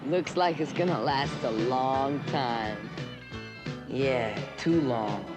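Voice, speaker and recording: An actor playing a sarcastic friend who teases in an educational film dialogue. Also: male voice, medium pitch, slow pace, natural voice. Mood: mocking. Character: sarcastic friend mocking a hat in conversation. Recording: 1970s educational film, music behind.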